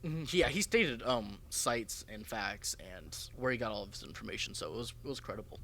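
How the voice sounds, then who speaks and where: A man's voice: mid-range voice, lively intonation, brisk, bright, forceful tone. A young American man co-hosting a podcast, chatting casually and bluntly with frequent swearing. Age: young adult. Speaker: podcast co-host. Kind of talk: casual group chat.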